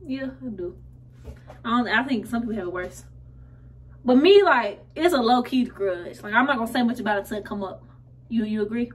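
A woman's voice: fairly high pitch, lively intonation, brisk, husky. A woman in her twenties with an American accent, chatting casually and slangily while getting ready in a small room. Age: 20s. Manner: slangy, conversational. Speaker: vlogger. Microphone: camera a short distance away. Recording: slightly distant camera mic, faint noise.

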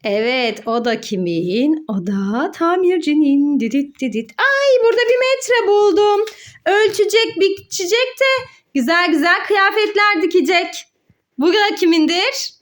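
Very high-pitched woman in playful teaching mode. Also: expressive, unhurried. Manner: sing-song, exclaiming, playfully instructive. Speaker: mother hosting a children's educational play video. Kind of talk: child-directed play narration with questions and answers.

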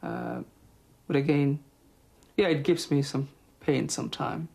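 A middle-aged man from Bangladesh with a Bangladeshi accent, answering interview questions in a measured, hesitant way. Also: a little high, creaky, darkish tone, husky. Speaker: health promotion officer interviewee.

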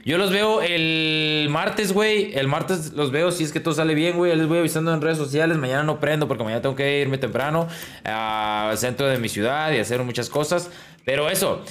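A man's voice: on the high side, brisk, a bit bright. A man in his twenties with a Mexican accent, chatting casually and in slang as a game streamer. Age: 20s. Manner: slangy, informal. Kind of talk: casual livestream chat. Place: indoors in a small room at a desk.

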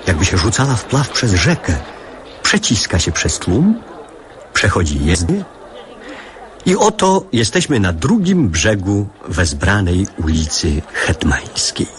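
Deep husky guy narrating childhood memories for a radio play, wistful, slow but expressive. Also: slight background noise, exaggerated voice.

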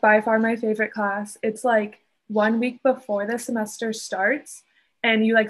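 A young woman in her twenties chats on a video call, filling her sentences with likes. Her pitch stays fairly level even as she gets excited. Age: 20s. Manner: bubbly, with frequent likes. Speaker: student panelist. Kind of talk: casual panel talk.

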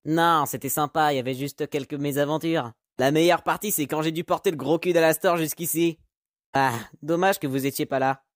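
Man voicing a sassy, higher-pitched demon ex-mobster. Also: fairly even intonation, brisk, dry recording, studio quality, natural voice. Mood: playful. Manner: sassy, slangy. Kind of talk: French fan comic dub acting.

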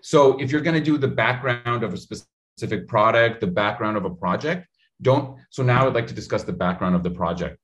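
A man in his thirties giving public speaking advice on a video call, talking in an animated, direct way with lots of gestures, recorded on a studio mic at home. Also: mid-range voice, a little fast. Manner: animated, gestures a lot, talks straight to the viewer. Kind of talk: energetic advice in an interview. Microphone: studio mic in frame on a video call.

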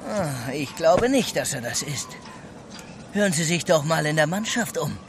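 Casting: insinuating mutineer sailor, gravelly yet highish, radio play. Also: male voice, animated, normal speed, a little hiss or hum. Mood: sly. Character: sly, defensive sailor turned mutineer, accused of cowardice. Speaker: actor in an audio drama. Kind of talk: German radio play acting.

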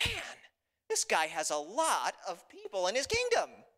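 Man acting out an excitable, pompous storybook king. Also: sits high, unhurried, voice pushed up, exaggerated voice. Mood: impressed.

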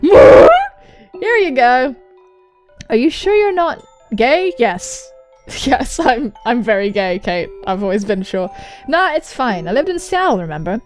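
A young woman, a gaming host, reading visual novel text aloud and commenting on it in a jokey, animated way with casual swearing. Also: a little high, takes it slowly, faint music behind. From England. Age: young adult. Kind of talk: let's play commentary and dramatic reading of on-screen dialogue. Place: indoor room. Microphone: large microphone close to the mouth.

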